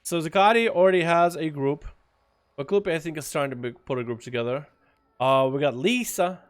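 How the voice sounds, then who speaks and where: A man's voice: fairly high pitch, brisk, clear, resonant tone. A young man from Russia with a slight Russian accent, streaming a game and chatting in a loose, joking way with his viewers. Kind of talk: casual livestream chat.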